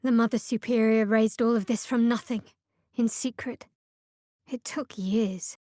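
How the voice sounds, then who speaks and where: A woman's voice: a little high, steady tone, a little slow, mellow, no echo, close to an everyday voice. A woman playing a guarded, sardonic young female cleric in a video game, with a dry, aloof, clipped delivery. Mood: wistful, troubled. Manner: dry, aloof, clipped sarcasm with earnest undertones. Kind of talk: video game character voice acting.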